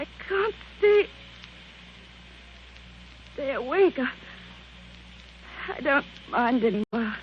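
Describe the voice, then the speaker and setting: A woman's voice: high-pitched, full character voice. An actress playing a frightened married woman in an old radio drama, panicky, faltering and short of breath. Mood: faint, fading. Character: frightened married woman trapped in gas-filled room, fading. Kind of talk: 1940s radio drama acting. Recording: muffled, hissy vintage radio recording.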